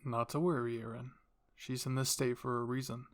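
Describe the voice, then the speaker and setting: A man voicing an older royal father in an anime roleplay drama, calm, commanding and measured, with an authoritative explaining manner. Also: mid-range voice, natural voice.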